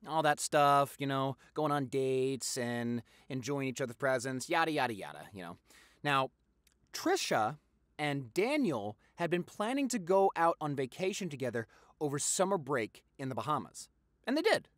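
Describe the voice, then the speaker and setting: A young man playing a gossipy, affectionate popular boyfriend in an audio roleplay, with a theatrical, teasing manner and drawn-out emphasis. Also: mid-range voice, sing-song, fairly bright tone, no echo, studio quality. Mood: excited gossiping. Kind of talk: dramatic boyfriend audio roleplay, addressed to listener.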